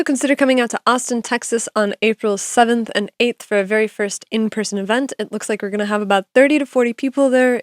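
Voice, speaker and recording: A woman's voice: mid-range voice, clear, resonant tone, dry recording. Woman co-host, close and dry. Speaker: podcast co-host. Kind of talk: casual interview talk.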